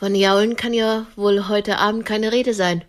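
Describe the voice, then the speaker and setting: A woman's voice: mid-range voice, fairly even intonation, normal speed, soft background music, close to an everyday voice. A woman acting in a radio drama as a lonely older typist, haughty and cutting, yet romantic. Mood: dismissive. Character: lonely middle-aged female typist, haughty, sharp-tongued, romantic. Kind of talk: intimate radio drama dialogue.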